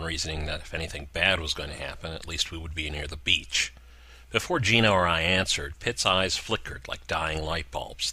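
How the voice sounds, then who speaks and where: A man narrating a horror story in a slow, deliberate way with dramatic pauses. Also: extremely low pitch, bright, forceful tone, no room sound.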